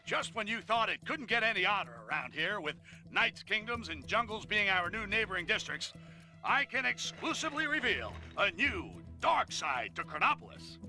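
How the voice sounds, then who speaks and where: A man's voice: high voice, big pitch swings, moderate pace, pushed and bright, heavily acted. Pompous cartoonish game newsman, wildly swooping intonation, theatrical broadcast delivery, music behind. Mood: indignant, bombastic. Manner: pompous, theatrical, punchy alliteration. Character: bombastic tabloid newsman ranting about a city gone bad. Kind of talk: exaggerated broadcast news monologue.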